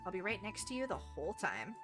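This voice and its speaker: A woman's voice: medium pitch, sing-song, quick pace, over music, lightly acted. Woman playing a breezy, teasing adventurous date.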